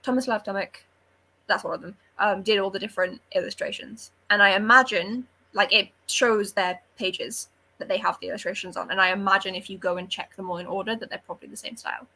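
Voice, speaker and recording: A woman's voice: medium pitch, fairly even intonation, a little fast, pushed and bright, rough recording. A woman chatting casually and animatedly about books as a panellist, opinionated and informal. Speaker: book club readalong panellist. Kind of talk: casual book discussion chat.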